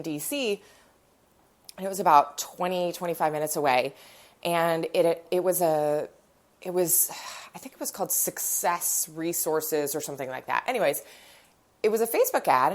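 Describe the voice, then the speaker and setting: A woman hosting a podcast, stuffy and nasal from a cold, chatting in a rambling storytelling way, recorded on her phone in her living room. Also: sits low. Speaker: podcast host. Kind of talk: casual chat.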